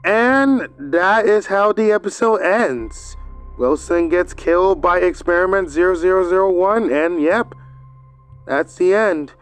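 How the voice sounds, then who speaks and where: A man's voice: high-pitched, measured pace, clean tone, faint music behind. A man giving a casual, rambling video review, recapping with hesitant fillers and restarts.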